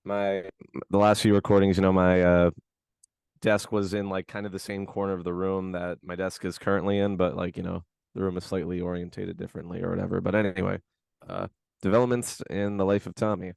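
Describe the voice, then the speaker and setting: A man co-hosting a podcast, his voice hoarse from screaming, chatting in a laid-back, slangy, rambling way from his bedroom at home. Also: low-pitched, flat delivery, fairly quick, darkish tone, no echo. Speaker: podcast co-host. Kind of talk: casual podcast chat.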